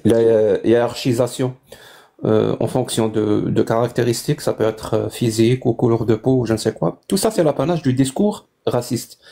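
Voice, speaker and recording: A man's voice: medium pitch, normal speed, creaky, dark tone. A man from Algeria arguing in a live-stream debate, formal and emphatic, with rhetorical questions.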